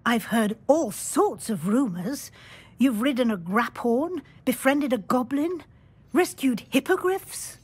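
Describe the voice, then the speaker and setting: An actress with a British accent playing a kindly, shrewd senior witch professor in a video game, measured, authoritative and encouraging. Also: high voice, expressive, a little mellow, slightly rough, exaggerated voice. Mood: knowing. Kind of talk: dramatic video game voice acting.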